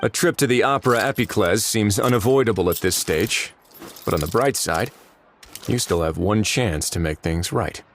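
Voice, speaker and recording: An actor playing a calm, authoritative male warden in a video game, speaking in a cool, measured, dryly commanding way. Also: lowish voice, a little hiss or hum, close to an everyday voice. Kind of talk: video game cutscene dialogue.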